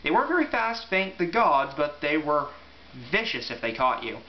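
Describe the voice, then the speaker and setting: A man's voice: a little high, sing-song, moderate pace. A man talking casually and reading aloud in an informal, offhand way. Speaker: amateur book reviewer and reader. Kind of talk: casual rambling review and book read-aloud. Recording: echoey room, muffled, faint hiss.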